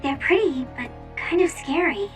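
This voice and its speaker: A woman voicing a reserved, gently blunt young female soldier in a video game, speaking in an understated way. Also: high voice, normal speed, recorded from a distance, faint music behind, lightly acted. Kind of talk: video game cutscene acting.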